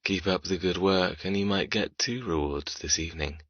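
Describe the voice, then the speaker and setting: A man playing a menacing, cruelly amused captor in an audiobook, with a deep, dark murmur and slow, commanding delivery. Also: dry recording. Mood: menacing, seductive. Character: menacing, cruelly amused man who toys with his captive. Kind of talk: audiobook character dialogue. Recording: slightly muffled, dry studio sound.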